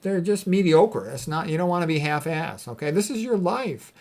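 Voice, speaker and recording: A man's voice: fairly high pitch, animated, normal speed, darkish tone. A man speaking informally as a doctor giving health advice, blunt and opinionated, leaning on repeated phrases for emphasis. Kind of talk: informal commentary on read quotes.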